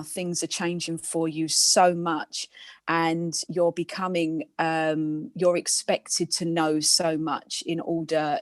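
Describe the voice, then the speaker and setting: A woman's voice: sits low, dry recording. A woman speaking earnestly and emphatically as a guest, giving motivational careers advice to students. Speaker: guest speaker, industry professional.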